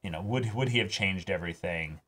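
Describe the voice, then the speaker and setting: Guy with lowish voice hosting a reaction chat, thoughtful n halting, casual. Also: a bit bright. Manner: reflective, halting, casual swearing. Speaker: host of an anime reaction show.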